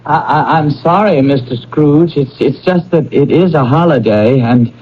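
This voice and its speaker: An actor playing a timid, humble clerk in a classic radio drama, stammering and apologetic with nervous deference. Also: male voice, on the high side, moderate pace, close to an everyday voice. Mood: cowed, apologetic. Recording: dull, slightly noisy old radio recording.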